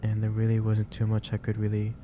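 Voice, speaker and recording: A man playing a gentle, caring office boss in a soft-spoken ASMR roleplay, speaking haltingly and repeating himself. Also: on the low side, completely flat delivery, noisy background, natural voice. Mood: gently concerned. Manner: hesitant, halting, repeats phrases. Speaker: roleplay performer.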